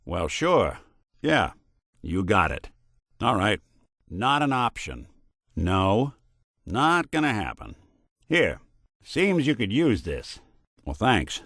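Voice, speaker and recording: An actor playing a world-weary, principled middle-aged synthetic detective in a video game, American, with a wry, laconic, folksy manner. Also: male voice, lowish voice, wildly swooping pitch, slow, pauses often, fairly bright tone, no room sound, studio quality, exaggerated voice. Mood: wry, clipped. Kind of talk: video game character dialogue, old-time detective manner.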